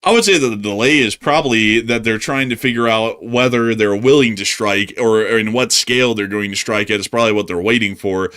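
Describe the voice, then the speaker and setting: A man's voice: fairly low pitch, brisk, hardly any pauses, fairly bright tone, clean tone. A man co-hosting a live news stream, giving analysis in long, explanatory sentences. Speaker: co-host analyst.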